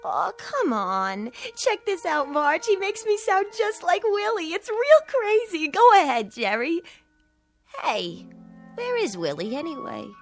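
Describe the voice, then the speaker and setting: A woman's voice: very high-pitched, big pitch swings, unhurried, soft background music, exaggerated voice. A woman playing a playful, flirty, chatty nightclub showgirl in a radio play. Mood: teasing.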